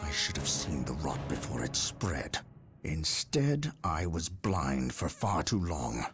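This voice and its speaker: Deep brooding warrior captain, guilty and intense, big swings in his voice, epic music behind. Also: big pitch swings, slow pace, full character voice. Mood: grim. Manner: intense, anguished, confrontational. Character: grim, guilt-haunted male warrior captain, middle-aged. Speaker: military captain. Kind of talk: video game cutscene voice acting. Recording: voice over orchestral game score.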